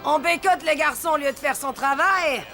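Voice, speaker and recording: A woman's voice: sits high, moderate pace, music in the background, lightly acted. A woman voicing a mean, middle-aged café owner in a French cartoon, scolding and sneering with sarcasm. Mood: threatening.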